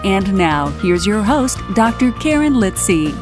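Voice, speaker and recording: A woman's voice: medium pitch, sing-song, unhurried. A woman reading a polished, scripted podcast introduction as announcer. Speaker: show announcer. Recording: intro over loud music.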